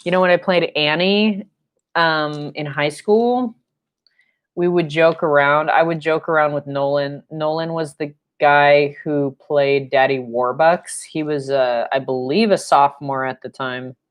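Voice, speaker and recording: Slow, low-voiced woman chatting while painting. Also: fairly even intonation, smooth. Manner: rambling and chatty, with fillers and playful sound effects. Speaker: painting instructor. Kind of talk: live-stream painting lesson with chatty asides. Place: home studio room, indoors.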